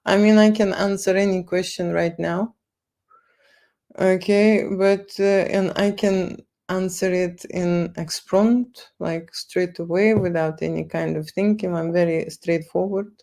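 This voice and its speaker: A woman's voice: lowish voice, steady tone, a little slow, gravelly, dark tone. A woman with a Lithuanian accent talking casually on a livestream, repeating words and tagging lines with okay and right. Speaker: photo studio owner livestreaming. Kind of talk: casual livestream talk to viewers.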